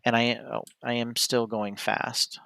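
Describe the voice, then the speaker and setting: A man gives casual play-by-play of his own solo tabletop game in a medium-pitched voice. He thinks aloud and often stops to correct himself. The sound is dry and close, with little room in it. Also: level tone, a little slow, gravelly, sharp tone, no echo. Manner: hesitant, self-correcting, talks through each move. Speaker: streamer playing a board game solo.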